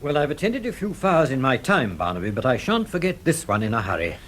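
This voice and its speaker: An actor playing an earnest, worried insurance man with a British accent and a husky voice in a radio drama. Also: medium pitch, sing-song, fast talker. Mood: earnest, concerned.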